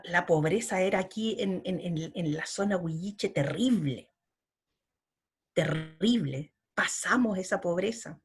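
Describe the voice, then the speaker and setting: Slow, husky-voiced middle-aged woman. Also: medium pitch. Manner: slow and earnest, with repeated words. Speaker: poet, interview guest. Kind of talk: reflective interview talk.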